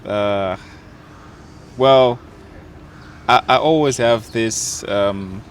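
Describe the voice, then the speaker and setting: A young man, an actor and content creator, chatting playfully in an interview, unhurried and hesitant with fillers. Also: on the low side, slight background noise. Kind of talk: casual interview chat.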